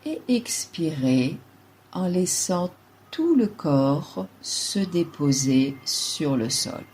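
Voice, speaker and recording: A woman guides a relaxation meditation as a yoga nidra teacher, giving calm, measured instructions in short phrases. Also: sits low, a little hiss or hum, low-quality recording.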